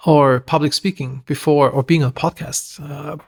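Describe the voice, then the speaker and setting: A man with a German accent, a podcast guest telling stories in a lively, self-deprecating way. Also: on the high side, normal speed, gravelly, slightly rough. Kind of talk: casual interview talk.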